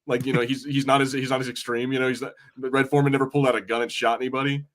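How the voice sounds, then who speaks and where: A man's voice: medium pitch, little pitch movement, very fast, fairly bright tone. A man co-hosting a podcast in casual film chat, rambling informally and often cutting himself off. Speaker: podcast co-host. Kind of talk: casual watch-party chat about films.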